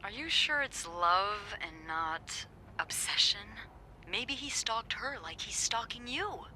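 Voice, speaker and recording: A woman's voice: mid-range voice, expressive, slow pace, sharp tone, a little hiss or hum, full character voice. A woman playing a young hotel receptionist, dry, teasing and knowing. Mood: wry. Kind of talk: video game voice acting.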